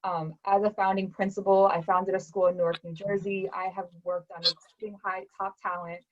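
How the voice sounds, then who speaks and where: A woman's voice: mid-range voice, little pitch movement, brisk. A woman school board candidate and founding principal giving an earnest closing statement over a video call. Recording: dull lo-fi sound.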